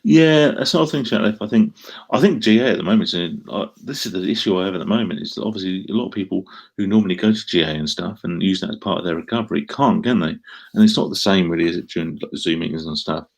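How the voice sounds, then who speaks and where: Middle-aged Essex bloke, gravelly and laid-back, reading out chat and rambling through answers. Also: male voice, sits low, quick pace. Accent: Essex English. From Essex, England. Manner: rambling, self-deprecating, frequent ums and restarts. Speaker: live streamer answering chat. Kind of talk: casual live stream chat.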